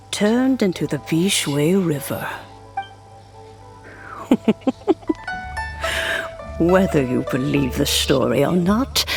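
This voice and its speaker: A woman voicing a kindly older woman in a video game, who tells an old legend in a slow, soft, reflective way. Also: fairly low pitch, creaky, full character voice. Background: game music.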